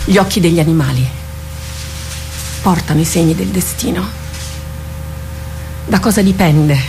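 An actress in a radio drama playing a solemn female commander of warriors, grave, authoritative and deliberate. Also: low voice, a little mellow. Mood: solemn, reflective. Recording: music behind the voice.

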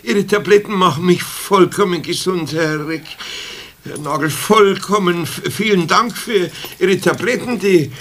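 Grumbling older Bavarian craftsman, highish voice. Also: unhurried, a bit bright. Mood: flustered. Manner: grumbling, sighing. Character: grumbling, good-hearted older master craftsman, feeling poorly. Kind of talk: 1970s children's radio play acting.

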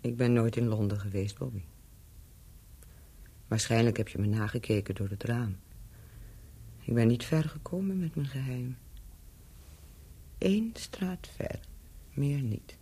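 A husky, dark-toned woman speaks slowly in an intimate radio drama. She is a cynical, bittersweet woman of the world. Her breathy voice often sinks toward a whisper. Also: a bass voice, exaggerated voice. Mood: wry. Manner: slow, deliberate, bittersweet and mocking. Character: world-weary cynical ex-prostitute and former spy, now hotel keeper. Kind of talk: intimate radio play acting.

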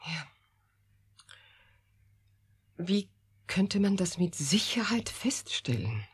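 Proud, troubled woman scientist, radio drama, lowish husky voice, authoritative, varied intonation. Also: sing-song, measured pace, full character voice. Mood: earnest, troubled. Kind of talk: German radio drama acting.

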